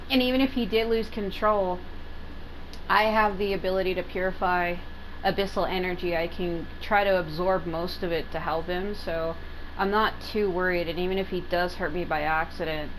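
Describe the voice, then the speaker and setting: A woman's voice: lowish voice, fairly even intonation, moderate pace, low-quality recording. A woman giving casual, rambling gameplay commentary, often repeating herself for emphasis. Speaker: gaming video host. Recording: faint background noise and game ambience.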